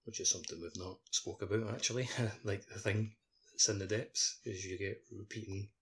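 A man's voice: on the low side, fairly even intonation, normal speed, fairly bright tone. A man talking casually about a video game on a podcast.

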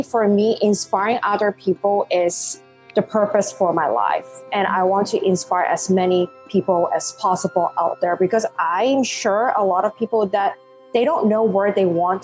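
A woman in her thirties from Hong Kong, a Cantonese native speaker with a Hong Kong English accent, telling her story as a podcast guest in animated, laugh-filled talk. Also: medium pitch, rough recording. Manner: animated, laughs often, non-native phrasing. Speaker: guest, life coach. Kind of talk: podcast interview.

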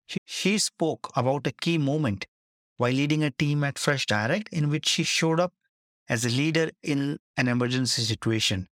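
A man's voice: medium pitch, fairly bright tone, dry recording, clean studio sound. A man hosting a podcast, reading a scripted, emphatic intro and then interviewing a guest. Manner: emphatic, repeats key phrases. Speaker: podcast host.

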